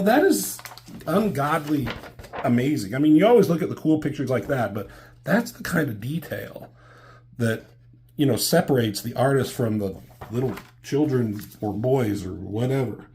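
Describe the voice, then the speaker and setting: A man's voice: medium pitch, animated, a little mellow, slight background noise. Rambling man with a cold. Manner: loose, halting, many ums, casual swearing. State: getting over a cold, voice slightly off. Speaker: comic book reviewer. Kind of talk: casual rambling review. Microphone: camera mic.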